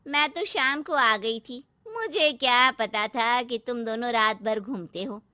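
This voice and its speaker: A woman's voice: on the high side, measured pace, sharp tone, dry recording. Nosy critical older aunt, played by a woman. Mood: reproachful. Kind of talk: cartoon family drama dialogue.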